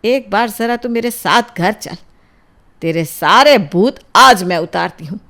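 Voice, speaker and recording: A woman's voice: medium pitch, lively intonation, unhurried. Woman acting a furious, strict Indian mother. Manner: scolding, threatening, forceful. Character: strict, furious middle-aged Indian mother scolding her children.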